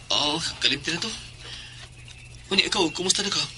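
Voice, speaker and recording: A woman's voice: on the low side, animated, brisk, background noise, lightly acted. A woman playing a casual visiting neighbour in a horror audio drama.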